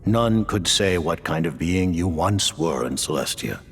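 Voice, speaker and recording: A man playing a grave, aloof ancient mastermind in a video game cutscene, speaking in a measured, formal, imperious way with a gravelly voice. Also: low-pitched, lively intonation. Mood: aloof, reflective. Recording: background music.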